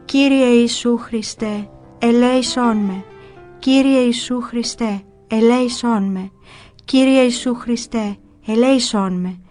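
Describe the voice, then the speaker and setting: A woman's voice: medium pitch, faint music behind, lightly acted. A woman voicing a tormented monk who cries out a repeated, pleading prayer in a dramatized story. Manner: slow, repeated, loud pleading.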